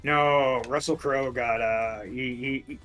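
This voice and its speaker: A man co-hosting a casual podcast, chatty and quick, with many stumbling restarts. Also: medium pitch, steady tone, a bit bright, faint music behind. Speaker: podcast co-host.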